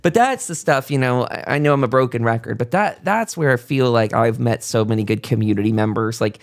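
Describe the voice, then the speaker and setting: A man's voice: medium pitch, brisk. A man with a mid-range voice hosts a casual chat show. He sounds enthusiastic and folksy, wandering from one thought to the next in a chatty way. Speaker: podcast host.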